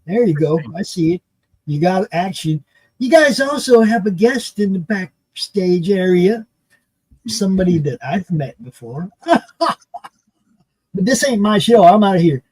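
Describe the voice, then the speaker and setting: A man of about sixty who produces a live stream, joking loosely and talking in quick bursts. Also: high voice, lively intonation, darkish tone, husky, dry recording, rough recording. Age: 60s. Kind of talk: casual chat on a live stream.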